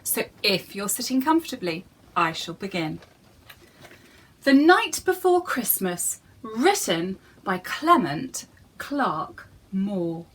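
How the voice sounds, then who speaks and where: A woman's voice: mid-range voice, sing-song, takes it slowly, breathy edge. A woman reading aloud as a children's storyteller, theatrical and speaking straight to young listeners. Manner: theatrical, addresses young listeners directly with questions.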